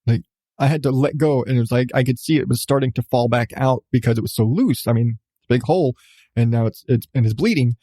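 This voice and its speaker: A man's voice: medium pitch, fairly quick, warm tone, dry recording, studio quality. A man in his thirties, a podcast host telling a personal story casually, with swearing and emphatic repetition. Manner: profane, emphatic, repeats phrases for effect.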